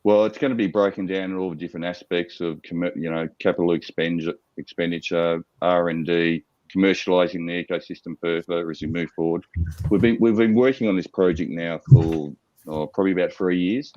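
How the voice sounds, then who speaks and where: A man with an Australian accent pitching his startup to investors, stopping often and repeating words as he explains. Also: darkish tone, lo-fi sound. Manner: halting, repeats words, frequent fillers. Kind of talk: business pitch Q&A.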